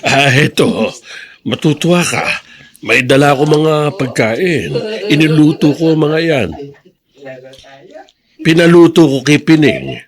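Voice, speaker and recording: A man's voice: on the high side, animated, takes it slowly, pauses often. A Filipino man acting a fond old grandfather in a radio drama, with a hesitant, folksy, tender manner. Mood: coaxing, flustered.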